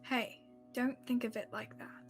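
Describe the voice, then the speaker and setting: Woman acting sincere consoling young woman, high-pitched, gently insistent, amateur radio drama over quiet music. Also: flat delivery, measured pace, close to an everyday voice. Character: earnest, consoling young woman helping a sheriff. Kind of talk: student radio-theatre acting.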